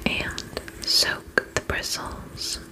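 A gentle female vampire spa attendant, played by a woman in an ASMR roleplay. She whispers breathily and takes her time, soothing and attentive as she reassures her client. The delivery is soft and slow throughout. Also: rough recording. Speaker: ASMR performer.

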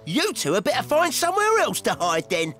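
A woman's voice: on the high side, huge pitch swings, brisk, a bit bright. A woman voicing a sly, lazy farmhand in a children's cartoon who dodges work. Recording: music playing underneath.

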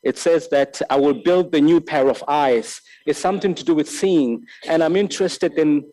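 A man in his thirties from Lesotho giving a filmmaking master class, speaking reflectively and haltingly, repeating words and restarting often. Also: fairly high pitch, dark tone, rough recording. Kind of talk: reflective spoken lecture. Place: large bright hall with tall windows. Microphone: desk microphone on a stand.

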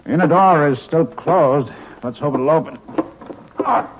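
An actor in a 1950s radio drama playing an eager male scientist who leads a saucer project, excitable and commanding. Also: a little high, a little slow, lightly acted. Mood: earnest. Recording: tinny old radio broadcast with hiss.

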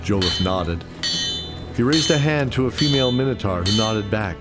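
Deep male narrator, dramatic scripted storytelling, music playing behind. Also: lively intonation, moderate pace.

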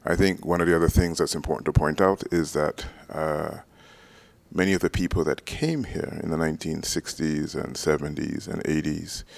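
A man in his thirties giving a documentary interview, explaining at a measured pace with frequent ums, speaking into a handheld mic in an indoor room. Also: extremely low pitch, steady tone, gravelly. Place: indoors, red-walled room, seated on couch. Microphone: handheld mic close to mouth.

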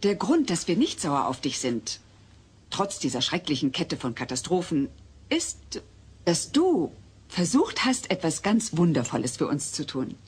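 A woman playing a loving middle-aged suburban wife in an audio drama, husky and breathy, affectionate yet firm. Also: sits low, sing-song, measured pace, heavily acted. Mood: forgiving. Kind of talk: German audio drama dub acting.

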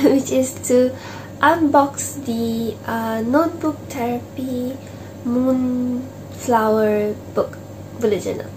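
High-voiced woman, slightly hoarse. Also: darkish tone, slight background noise, rough recording. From Malaysia. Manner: chatty and rambling, many fillers and restarts. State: voice going hoarse, clearing throat. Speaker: stationery vlogger. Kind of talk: casual unboxing chat to camera. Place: small home office with desk and shelves, indoors.

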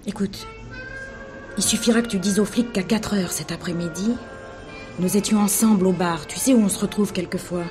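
An actress playing a wily, coaxing Parisian prostitute in a French radio play, speaking colloquially. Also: female voice, mid-range voice, moderate pace, over music, close to an everyday voice. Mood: insistent.

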